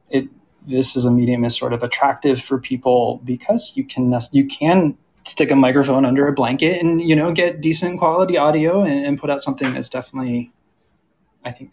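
Man, medium pitch, panel moderator, conversational delivery. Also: dull audio.

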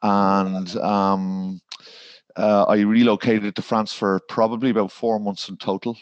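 A man's voice: fairly low pitch, flat delivery, a little slow, dry recording, lo-fi sound. An Irish man, a garden designer, talking in a podcast interview and rambling with fillers and restarts. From Ireland.

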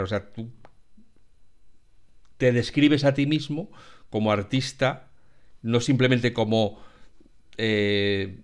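A man's voice: medium pitch, clear, resonant tone. A man hosting an interview, asking long, winding questions and nodding along with many affirmations. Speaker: interviewer, host. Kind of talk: conversational interview.

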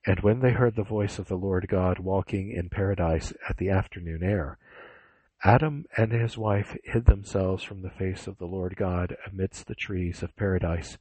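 A man narrates scripture with a very low, breathy voice. His reading is solemn and measured. The sound is dry, with almost no room. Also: fairly even intonation, warm tone, dry recording, poor audio.

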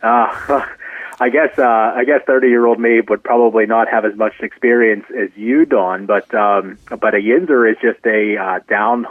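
A man's voice: fairly low pitch, steady tone, unhurried, no room sound. An American man in his thirties, a track announcer talking casually as a radio guest over the phone, sometimes repeating words. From Pittsburgh area, United States. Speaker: speedway announcer, show guest. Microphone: telephone call. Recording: tinny phone line.

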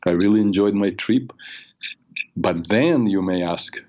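An older man hosting a radio talk show, raspy from a cold, telling personal stories in a rambling way with many fillers. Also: fairly low pitch, unhurried, a little mellow, lo-fi sound, a little hiss or hum. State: has a cold. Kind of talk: solo talk show monologue.

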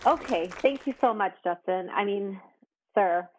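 A woman's voice: mid-range voice, unhurried, a little mellow, a little hiss or hum, lightly acted, low-quality recording. A woman playing a nervous cooking show host who stammers and tries to please everyone. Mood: flustered. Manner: flustered, stammering, eager to please. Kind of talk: comedy sketch acting.

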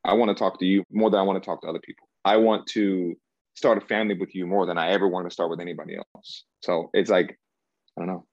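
A very deep, gravelly male voice from a man in his thirties who was born in Cameroon. He thinks aloud as an interview guest, hesitating and circling back. The sound is dry and a little dull. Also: flat delivery, quick pace, a little mellow, no room sound. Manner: searching, hesitant, repeats himself and says "like" often. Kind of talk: reflective conversational interview.

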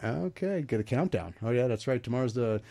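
A man's voice: medium pitch, expressive, vocal fry, warm tone, no echo. Man hosting a talk radio show. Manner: halting, filler-heavy questions. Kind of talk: casual radio interview.

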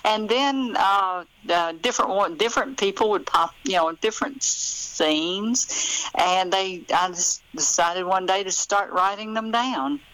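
A woman's voice: mid-range voice, measured pace, fairly bright tone, poor audio. An older American woman, telling stories conversationally as an author being interviewed.

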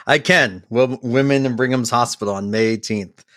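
A man's voice: mid-range voice, normal speed, a bit bright, no echo, studio quality. A man from Massachusetts, a guest musician on a podcast, telling stories in a rambling casual way full of fillers and restarts.

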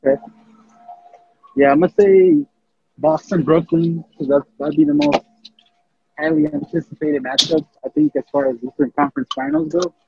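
A man co-hosting a casual sports podcast, talking in an animated way with stammered restarts. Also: fairly high pitch, moderate pace, creaky, long pauses, dull audio, a little hiss or hum. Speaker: podcast co-host.

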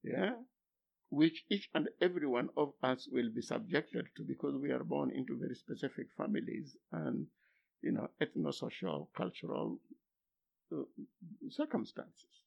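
An older man, a philosophy professor whose first language is Luo, speaking haltingly in an interview, then pressing with emphatic rhetorical questions. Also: medium pitch, lively intonation, vocal fry. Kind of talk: academic interview. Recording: dull lo-fi recording.

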